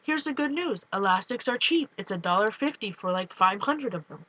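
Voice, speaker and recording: Chatty lady w a canadian-minnesota accent rambling about her art journals, bright voice. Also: mid-range voice, lively intonation, moderate pace. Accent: Canadian with a Minnesota tinge. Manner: rambling, chatty, talks to viewers. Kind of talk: casual chat while flipping through art journals. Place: home, on a couch.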